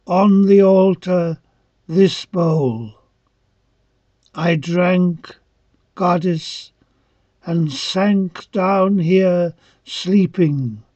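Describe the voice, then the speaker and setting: Man playing an entranced youth, very slow, high-pitched. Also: warm tone, no echo, heavily acted. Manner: solemn, declamatory, halting phrases. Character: entranced young male reveller in ancient Greece, awed, devout. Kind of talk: dramatic poetry recital. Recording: dull lo-fi, dry close recording.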